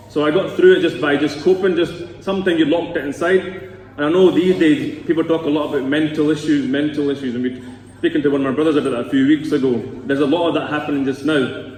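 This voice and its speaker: A man's voice: fairly high pitch, fairly even intonation, fast, a little mellow. A man in his thirties with a Scottish accent, giving an earnest, rambling personal talk to a congregation. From Scotland. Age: 30s. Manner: earnest, rambling, with asides to the audience. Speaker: speaker at a Sikh gurdwara. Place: large echoey gurdwara hall. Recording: echoey hall recorded from a distance.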